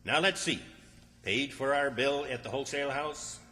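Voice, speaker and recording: A man's voice: mid-range voice, moderate pace, sharp tone, close to an everyday voice. A man acting a bossy, exasperated country fellow, scolding and commanding in an old-time radio comedy. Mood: impatient. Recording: hall reverb, distant stage sound.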